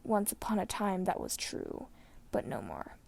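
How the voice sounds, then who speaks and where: Woman voicing a frail, breathy dying old man. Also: medium pitch, huge pitch swings, darkish tone, whispered, heavily acted. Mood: weary grief. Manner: weak, breathy, halting speech. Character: frail dying old man, wise, grieving former warrior.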